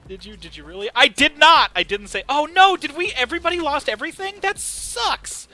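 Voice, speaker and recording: A man's voice: very high-pitched, brisk, faint music behind. A man with a Connecticut accent streaming a game with friends, joking and laughing often. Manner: excitable, laughs often, jokey. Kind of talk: casual gaming stream chat.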